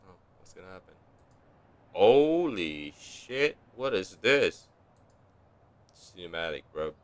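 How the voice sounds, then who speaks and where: A man's voice: deep voice, big pitch swings, slow, clear, resonant tone. A man casually reacting to a game on stream, talking in a slangy, laid-back way. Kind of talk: casual live gameplay reaction. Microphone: streaming mic close by. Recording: faint background noise and clicking.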